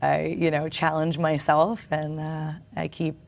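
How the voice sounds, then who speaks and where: A woman's voice: low-pitched, flat delivery, measured pace, creaky, darkish tone. A woman actress talking as a guest on a TV interview show, earnest, with fillers and restarts. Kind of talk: casual interview talk. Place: television studio.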